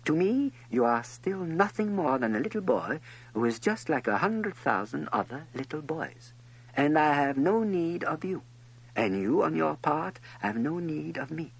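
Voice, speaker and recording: A man's voice: fairly high pitch, very animated, a little slow, gravelly, husky, pitched above the performer's own voice, heavily acted, rough recording. A man voicing an earnest, wise wild fox in a storybook reading, explaining things patiently.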